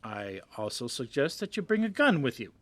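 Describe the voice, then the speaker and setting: An actor playing a persuasive, dismissive magazine boss in an audio drama, brisk and patronizing as he coaxes. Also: mid-range voice, very animated, a bit bright, no room sound. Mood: coaxing.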